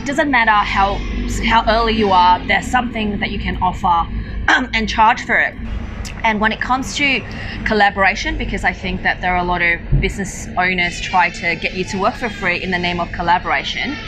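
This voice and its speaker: A woman's voice: mid-range voice, brisk, music in the background, low-quality recording. A woman from Malaysia speaking casually as an interview guest, explaining her work and giving advice. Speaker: interview guest, digital marketer.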